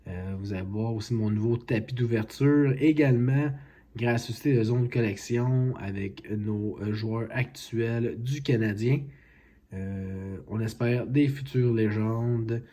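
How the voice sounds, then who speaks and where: Homme calme, voix sombre et voilée. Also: male voice, medium pitch. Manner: unhurried, excitable over big pulls. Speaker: card collecting channel host. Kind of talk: casual card-opening vlog. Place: home, at a card-opening mat.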